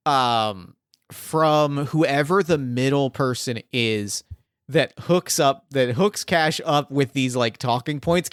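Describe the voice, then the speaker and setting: A man with a fairly high, smooth voice narrates a tabletop game off the cuff. He thinks aloud in halting bursts, and the sound is dry and close. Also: a bit bright, no room sound. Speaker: game master.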